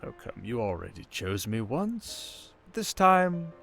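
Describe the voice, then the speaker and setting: A man playing a suave, cunning villain in an intimate ASMR roleplay, speaking in a silky, theatrical, teasing way with deliberate pauses. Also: mid-range voice, big pitch swings, gravelly, a bit bright, faint music behind, heavily acted. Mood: seductive, persuasive. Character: suave, cunning male criminal mastermind villain, seductive and teasing.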